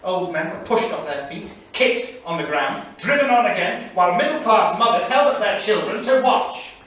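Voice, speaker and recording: An actor playing a grave, anguished English intellectual diarist of 1938, reading aloud in a measured, erudite and emphatic stage manner. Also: male voice, high voice, expressive, fairly bright tone. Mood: grave, indignant. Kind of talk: theatrical staged reading of a diary. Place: small theatre studio, indoors. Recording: echoey theatre studio from far off, faint noise.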